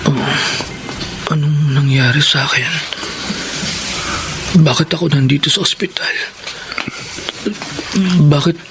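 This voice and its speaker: A man's voice: fairly high pitch, steady tone, slow pace, pauses often, over loud music, low-quality recording. An actor playing an injured, humble father in a classic radio drama, speaking weakly and gratefully. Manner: weak, grateful.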